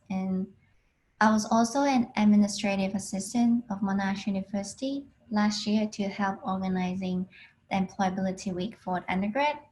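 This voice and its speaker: A woman in her twenties from Hong Kong, a PhD student giving a plain, unhurried talk over a video call with frequent ums. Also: mid-range voice, darkish tone, rough recording. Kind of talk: online alumni talk.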